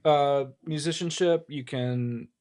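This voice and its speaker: A man's voice: on the high side, slow, darkish tone, clean tone. A man from Colorado, a guest musician, answering casually with short, hesitant replies. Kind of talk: casual interview chat.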